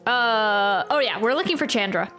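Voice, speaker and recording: A woman with a high voice hosts a casual game livestream. She rambles in a giggly, chatty way, with soft game music behind her. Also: expressive, normal speed. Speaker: livestream host playing a video game. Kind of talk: casual livestream reaction chat.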